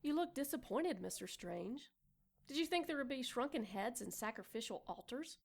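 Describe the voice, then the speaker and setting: A woman's voice: a little high, expressive, moderate pace, gravelly, husky, heavily acted. An actress playing a self-absorbed expectant mother in a noir audio drama, coy, defensive and sly. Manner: coy, mock-proper, defensive.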